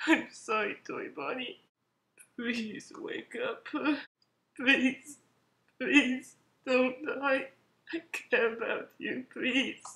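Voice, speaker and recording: A woman's voice: a little high, drawn-out words, pauses often, pushed and bright, roomy sound, full character voice. Woman voicing an anguished, guilt-ridden animatronic fox. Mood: tearful pleading.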